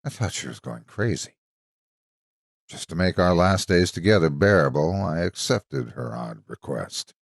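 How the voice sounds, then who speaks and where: Deep-voiced man narrating, expressive and measured. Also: vocal fry, dry recording, studio quality. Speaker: story narrator. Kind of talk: scripted dramatic story narration.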